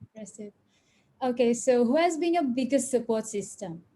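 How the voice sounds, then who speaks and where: Woman host, higher mellow voice, formal scripted interviewer. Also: animated. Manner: formal, measured. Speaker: webinar host. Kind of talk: scripted introduction and interview questions. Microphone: video call.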